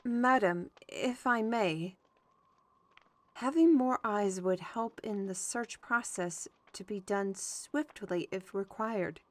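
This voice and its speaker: Woman playing a slow-spoken, courteous fantasy searcher. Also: medium pitch, no room sound, lightly acted. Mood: courteous, reassuring. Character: courteous, steady searcher pledging honor, folksy turns of phrase. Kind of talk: fantasy audio drama acting.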